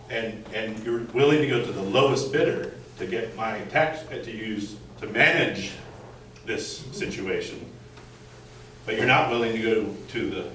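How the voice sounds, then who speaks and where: An American man speaking up as a resident at a community meeting, halting and repeating words as he presses his skeptical point. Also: on the low side, a bit bright. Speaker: resident questioning a school board. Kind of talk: impromptu public comment at a community meeting. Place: indoor community meeting room, recorded from afar. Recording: echoey room, distant mic, faint noise.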